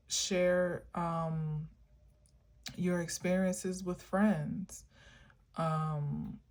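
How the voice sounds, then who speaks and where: Woman vlogger, low-pitched, slow and meandering, earnest spiritual musing. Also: gravelly, darkish tone. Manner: meandering, musing, many filler words; earnest, encouraging. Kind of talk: reflective spiritual monologue.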